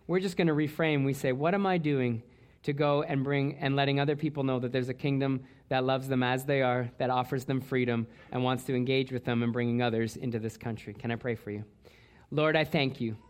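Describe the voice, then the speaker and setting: A man's voice: mid-range voice, clean tone. Earnest male pastor preaching. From Canada. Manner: earnest, repeats words when restarting. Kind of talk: sermon. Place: church.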